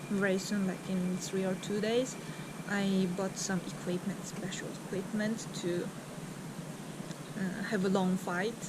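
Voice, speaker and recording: A young woman from Mongolia speaking English with a Mongolian accent in a casual, halting vlog chat, laughing often. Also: medium pitch. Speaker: vlogger. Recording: loud background noise.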